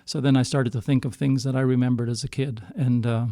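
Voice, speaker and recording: A middle-aged man from Canada speaks in a smooth, clear voice, captured close and dry. He tells his story casually, in a halting way, doubling back to reword himself as he goes. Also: medium pitch, level tone, mellow, dry recording. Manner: halting, circling back to rephrase. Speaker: singer-songwriter guest. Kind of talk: casual storytelling in a podcast interview.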